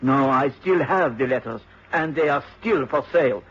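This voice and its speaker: Husky, suave blackmailer. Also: male voice, medium pitch, lively intonation, moderate pace, a bit bright. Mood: smooth menace. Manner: smooth, insinuating, formally polite. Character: suave, menacing French blackmailer, tall dark man. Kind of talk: British radio drama acting. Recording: dull old radio drama recording.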